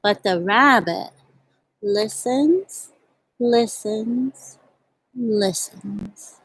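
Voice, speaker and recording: A woman with a husky voice hosting a storytime for young children, speaking gently and in simple phrases as she reads and chats outdoors. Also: a little high, very slow, no room sound, poor audio. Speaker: children's storytime host at a community farm. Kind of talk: storybook read-aloud and casual chat to children. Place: outdoors at a farm.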